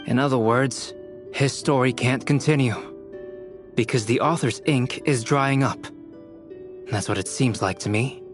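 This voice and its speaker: A man voicing a calm, scholarly companion in a game cutscene, explaining things in a measured, analytical way. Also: mid-range voice, lightly acted. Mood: thoughtful. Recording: game audio with faint music.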